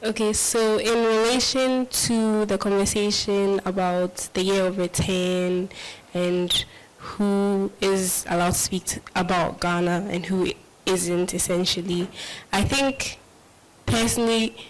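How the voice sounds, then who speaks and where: Woman panelist, smooth clear voice, flat intonation, measured, hedging. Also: medium pitch, poor audio. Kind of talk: panel discussion.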